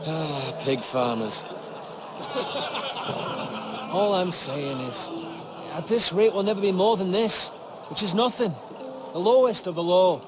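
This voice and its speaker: A young actor playing a resentful, sarcastic squire who likes to complain, in a fantasy video game. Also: male voice, high-pitched, lively intonation, slow pace, pauses often, loud music behind the voice. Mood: bitter, sardonic. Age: young adult. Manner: sarcastic, grumbling. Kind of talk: naturalistic fantasy game acting. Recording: clear outdoor background noise.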